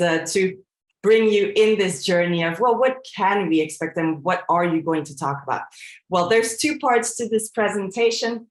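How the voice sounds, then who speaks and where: A woman in her forties from Belgium, a coach giving a presentation in an earnest, reflective way, often pausing on fillers and restarting her sentences. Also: fairly low pitch, reverberant. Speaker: coach and trainer. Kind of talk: presentation to an audience.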